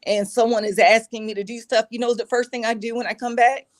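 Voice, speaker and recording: A middle-aged woman with a raspy voice, speaking earnestly and reflectively as an interview guest, with many fillers. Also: on the high side, steady tone, fast. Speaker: guest, executive communication advisor. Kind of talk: conversational interview.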